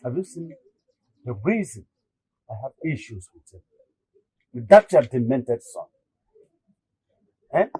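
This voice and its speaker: Stern Nigerian monarch, high-pitched and husky, imperious, repeating his orders, for a palace drama. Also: a little mellow, no echo, exaggerated voice, rough recording. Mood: bitter, exasperated. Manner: declamatory, repeats commands for emphasis. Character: stern, imperious older king, an angry father. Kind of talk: Nollywood royal drama acting.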